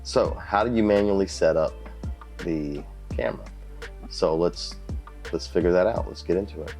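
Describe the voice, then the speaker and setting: A man with a very low, deep voice talks through a casual video tutorial in a plain-spoken way, often repeating himself for emphasis. He speaks into a lav mic at a home desk, with faint music in the background. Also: moderate pace, a little mellow. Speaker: YouTube creator teaching video basics. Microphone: lav mic, wired then wireless.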